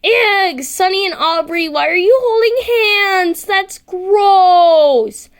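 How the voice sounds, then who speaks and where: A woman's voice: extremely high pitch, slow pace, pitched above the performer's own voice, full character voice. A woman voicing a boisterous, theatrical, easily offended boy in a story reading, dramatic and whiny. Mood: disgusted.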